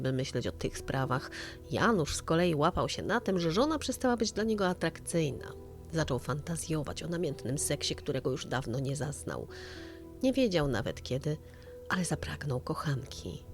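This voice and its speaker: A woman narrating a scripted true-crime story in an audio drama style. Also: low-pitched.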